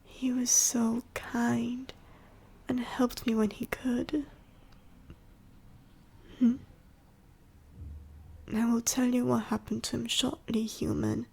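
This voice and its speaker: Casting: woman to play an ancient dying she-dragon for ASMR roleplay; whispery, husky, slow and halting, gentle and sorrowful. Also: on the high side, flat delivery, exaggerated voice. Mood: wistful, tender. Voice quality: breathy, whispery, raspy. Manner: halting, slow, solemn storytelling. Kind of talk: ASMR audio roleplay monologue to the listener.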